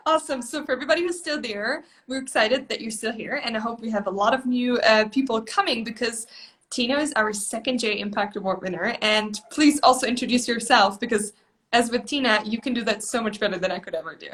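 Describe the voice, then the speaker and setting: A woman hosting a live video call interview, upbeat and encouraging, often laughing and filling in with quick affirmations. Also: on the high side, a bit bright. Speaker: host.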